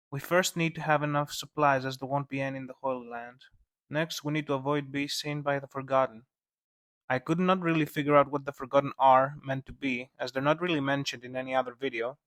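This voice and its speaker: A man's voice: medium pitch, fairly bright tone, no room sound. Dry-sounding man narrating a video essay. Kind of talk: scripted analysis narration with casual asides.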